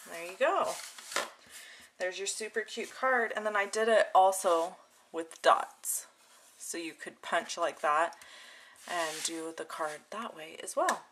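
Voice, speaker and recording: A woman with a fairly low voice hosts a hands-on craft tutorial. She talks casually and informally, step by step as she works. The recording has clear noise behind her, with clicks from handled tools. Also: takes it slowly, fairly bright tone. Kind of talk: casual hands-on demonstration narration. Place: indoor craft desk.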